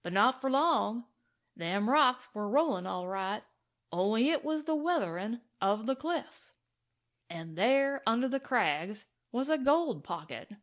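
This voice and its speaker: Woman reading as an excited little cowboy prospector, unhurried. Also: on the high side. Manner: folksy Old West cowboy talk, boastful. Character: excited, grateful, big-hearted little cowboy gold prospector, male. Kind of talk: audiobook western character.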